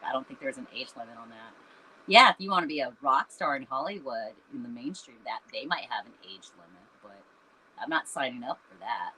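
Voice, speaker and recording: A woman in her fifties talks openly about her music and her life in a bright, tense-edged voice. She is earnest and reflective, her sentences loose with fillers. There is a faint hiss of noise behind her. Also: rumbling low, rough recording. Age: 50s. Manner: earnest and reflective, with frequent "you know" and "um". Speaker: singer and band founder, interview guest. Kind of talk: candid conversational interview answers.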